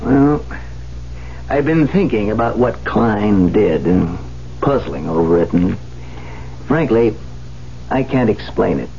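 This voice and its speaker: An actor playing a mild, skeptical, courteous doctor in a 1970s radio drama, speaking in a measured, hesitant way. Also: male voice, fairly low pitch, big pitch swings, vocal fry, dark tone, full character voice. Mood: puzzled. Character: mild, skeptical professional doctor, polite and reasonable. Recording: muffled, hissy old radio broadcast.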